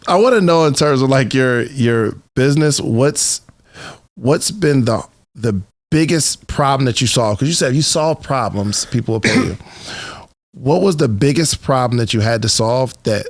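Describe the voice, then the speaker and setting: Man, mid-pitched voice, podcast interviewer, informal conversational delivery, dry studio-like sound. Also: animated, normal speed, no room sound.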